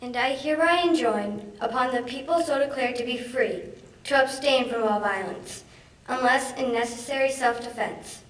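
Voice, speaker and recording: A young woman reads a proclamation aloud in a formal style. Her voice is high and reaches the microphone from afar through an echoey hall. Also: normal speed. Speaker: student reader. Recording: echoey hall, distant mic.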